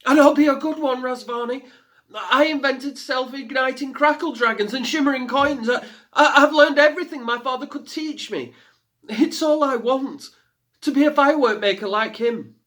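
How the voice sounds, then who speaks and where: A man's voice: very high-pitched, normal speed, a bit bright, pitched above the performer's own voice. A man voicing a young girl who stammers and gasps out her words in a storybook reading. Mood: frightened. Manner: stammering, gasping. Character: frightened determined young girl.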